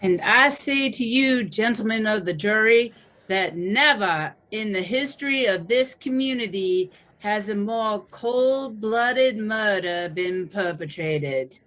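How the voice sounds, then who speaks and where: A pompous courtroom orator , played by a woman, with a mid-pitched voice. The delivery is formal, accusing and declamatory, as in a radio play. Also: slow pace, a bit bright. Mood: pompous certainty. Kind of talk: old-time radio drama acting.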